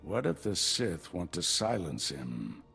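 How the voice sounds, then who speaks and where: Game mentor: older Jedi master, low, animated, wry and commanding. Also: male voice, slow pace, gravelly, faint music behind, exaggerated voice. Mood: grave, commanding.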